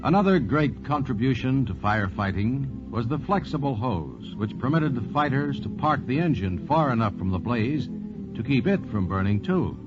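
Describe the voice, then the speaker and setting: A man's voice: medium pitch, big pitch swings, normal speed, over loud music. A man delivering scripted narration in 1940s radio style. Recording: dull vintage 1940s radio recording.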